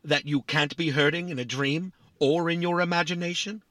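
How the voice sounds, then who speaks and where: An actor playing a small, vain, philosophical robot in a family audio drama, boastful and politely fussy. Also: male voice, fairly high pitch, steady tone, moderate pace, fairly bright tone, no echo, natural voice. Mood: vain, musing.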